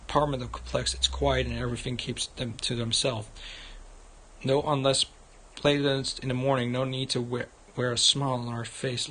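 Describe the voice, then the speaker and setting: A man's voice: medium pitch, poor audio. A man livestreaming a visual novel, reading it aloud and commenting, often stumbling over the words. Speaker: game livestreamer. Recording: loud background noise.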